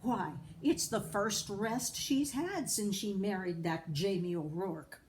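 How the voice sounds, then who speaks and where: A woman's voice: medium pitch, slow, close to an everyday voice. A woman voicing a pious, gossiping Irish village woman in a storybook. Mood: knowing gossip.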